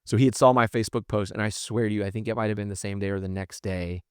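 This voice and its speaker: A man speaks as a podcast guest in an earnest, encouraging way. His delivery is quick and casual, and his clear, resonant voice sits on the low side, recorded dry and close. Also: steady tone, no room sound.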